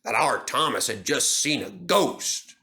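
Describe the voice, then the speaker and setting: A man's voice: mid-range voice, lively intonation, measured pace, sharp tone. A man voicing a nervous old male tram engine who speaks in a shaky, stammering voice for a storybook reading.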